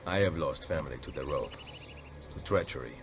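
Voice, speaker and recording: An actor playing a stoic, courteous Italian assassin in a video game, speaking with an Italian accent. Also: male voice, a bass voice, lively intonation, a little fast, noisy background. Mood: grave, resolute. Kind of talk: video game cutscene acting.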